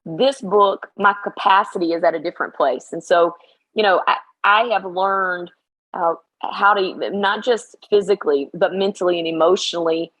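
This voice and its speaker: Upbeat, slightly hoarse woman coach. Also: on the low side, steady tone, moderate pace, fairly bright tone, poor audio. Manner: emphatic, upbeat, motivational. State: slightly hoarse after practice. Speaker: basketball coach and guest. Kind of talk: casual interview chat.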